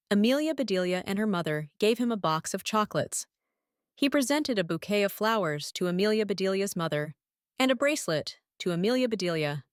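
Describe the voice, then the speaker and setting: A woman narrating a children's story as a teacher would, expressive and clearly phrased for young listeners. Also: medium pitch, dry recording, clean studio sound. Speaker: read-aloud teacher and storyteller. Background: sound effects and noise.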